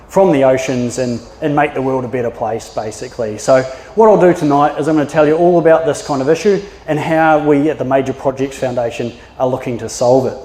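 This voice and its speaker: A man's voice: mid-range voice, fairly quick, hardly any pauses, mellow. Kiwi man talking in an echoey hall. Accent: New Zealand. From New Zealand. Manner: chatty, anecdotal, many fillers and restarts. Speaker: maritime archaeologist giving a talk. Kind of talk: public presentation. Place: yacht club function room. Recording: echoey hall with long reverb.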